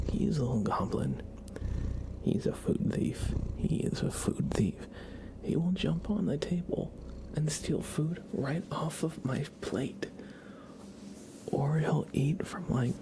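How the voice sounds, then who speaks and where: A man speaking in a soft, gravelly whisper, chatting casually and gently as an ASMR live streamer greeting viewers. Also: on the high side. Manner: gentle, rambling chat with viewers and pet. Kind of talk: ASMR livestream casual chat.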